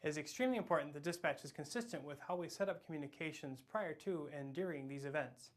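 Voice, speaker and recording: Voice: medium pitch, fast talker. An American speaker delivering a scripted instructional presentation as a trainer.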